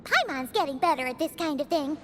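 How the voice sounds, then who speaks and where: A woman voicing a small, excitable fairy-like girl companion in a video game, with a squeaky childlike voice. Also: fast talker, big-room sound, slight background noise. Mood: playful.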